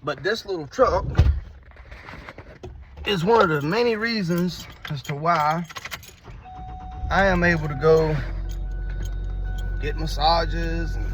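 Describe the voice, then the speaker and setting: A man in his forties chatting casually in a rambling, folksy way, recorded outdoors beside his truck. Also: fairly high pitch. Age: 40s. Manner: rambling, folksy, lots of fillers. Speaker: vlogger. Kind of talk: casual personal vlog. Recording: distant, dull mic with loud street and car noise. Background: traffic and urban outdoor noise.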